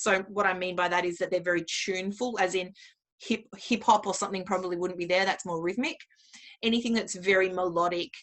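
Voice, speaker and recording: Woman, medium pitch, interview guest, conversational, explanatory, practical advice. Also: a bit bright. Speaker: interview guest, music therapist.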